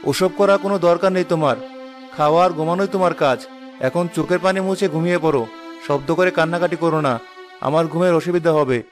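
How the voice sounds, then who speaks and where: A man's voice: fairly high pitch, fast, close to an everyday voice. A man playing a busy, impatient rich husband in a cartoon fairy tale, lecturing and dismissive. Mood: stern, scolding. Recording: music behind the voice.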